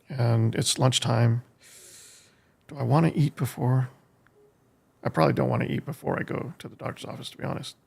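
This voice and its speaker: An American man streaming a game, giving casual live commentary in a halting way as he thinks aloud, with a gravelly, husky voice. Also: medium pitch, a little mellow. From United States. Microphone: streaming desk microphone.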